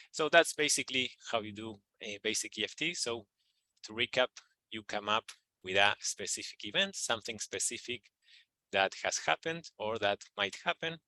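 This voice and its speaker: Bright-toned man guiding a tapping lesson. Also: lowish voice, dry recording, low-quality recording. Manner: calm, repetitive guided phrasing with fillers. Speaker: EFT practitioner teaching tapping. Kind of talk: instructional video walkthrough.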